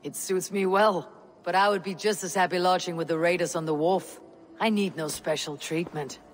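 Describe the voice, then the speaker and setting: An actress playing a stoic, loyal young Viking warrior in a video game, measured and terse. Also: female voice, on the low side, steady tone, natural voice. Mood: calm, earnest. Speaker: warrior protagonist. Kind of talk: video game cutscene acting.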